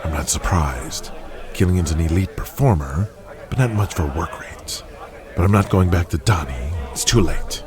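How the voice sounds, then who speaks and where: Actor as a deep-voiced, cynical wrestling promoter. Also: male voice, animated, normal speed, over music. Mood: scornful, calculating. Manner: blunt, authoritative, lecturing. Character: cynical, foul-mouthed, commanding male 1980s wrestling promoter. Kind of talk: audio drama acting. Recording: crowd noise behind the voice. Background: arena crowd.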